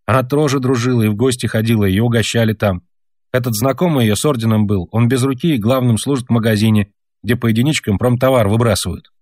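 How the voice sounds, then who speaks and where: A man's voice: medium pitch, fast, darkish tone, dry recording, clean studio sound, pitched above the performer's own voice, close to an everyday voice. A man voicing an earnest young peasant boy who lectures his parents and tells a long story. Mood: storytelling.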